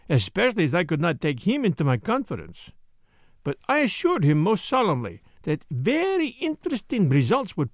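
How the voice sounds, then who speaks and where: A man's voice: sits high, no room sound, studio quality, exaggerated voice. A man voicing a fussy, self-satisfied Belgian detective in an audiobook, speaking with a put-on French accent in a precise, mannered way. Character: fussy, smug middle-aged male Belgian detective. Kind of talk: audiobook character voice.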